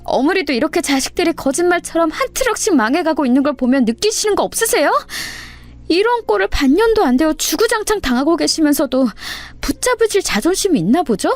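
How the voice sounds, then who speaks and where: A woman's voice: high voice, very animated, fairly quick, no echo, voice pushed up. A woman voicing a betrayed wife, emphatic and cutting, in a dramatized audio story. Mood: bitter fury. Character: bitter, betrayed wife confronting her in-laws.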